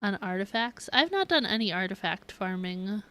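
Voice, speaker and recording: A woman's voice: mid-range voice, animated, moderate pace, fairly bright tone, no echo. A woman game streamer chatting casually, musing aloud and indecisively to her viewers. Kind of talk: casual livestream chat.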